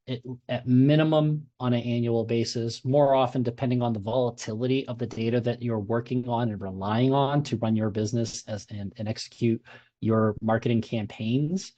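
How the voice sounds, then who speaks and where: A man with a Boston accent presenting at a user group meetup. His voice is mellow and dark. He talks conversationally, with frequent fillers and restarts. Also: medium pitch, highs cut off.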